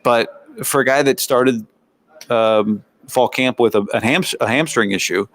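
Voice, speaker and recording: A man's voice: medium pitch, measured pace, fairly bright tone. A man with an American accent giving conversational, analytical sports commentary as a show host, often posing rhetorical questions and asides. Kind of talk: sports commentary, practice recap.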